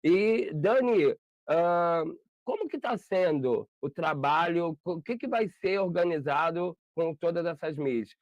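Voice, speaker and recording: A man's voice: fairly high pitch, expressive, takes it slowly, dry recording. A Brazilian man hosting an interview over a video call, chatty and rambling, often correcting himself. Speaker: interview host. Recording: dull lo-fi video call audio.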